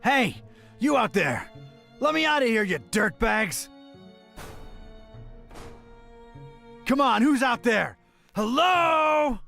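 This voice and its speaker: An actor playing a wisecracking, cynical detective in a cartoon adventure game, wry and world-weary in noir-parody style over music. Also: male voice, very high voice, big pitch swings, slow, creaky, sharp tone, heavily acted. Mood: angry shouting. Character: wisecracking hard-boiled detective man, cynical and grumbling. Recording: music under the voice.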